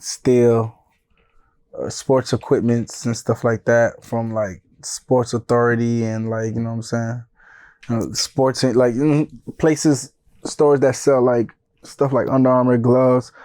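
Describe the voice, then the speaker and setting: A man in his thirties, born in Haiti and raised in Florida, telling his story as a music manager in a relaxed, rambling interview style. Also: mid-range voice, no echo. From born in Haiti, raised in Broward County, Florida. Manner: laid-back, often says "you know what I'm saying".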